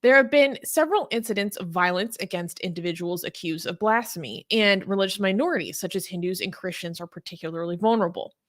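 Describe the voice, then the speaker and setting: Animated woman with a bright voice. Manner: animated, rises in pitch when outraged; chatty with many fillers. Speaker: co-host reading news. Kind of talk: casual news discussion.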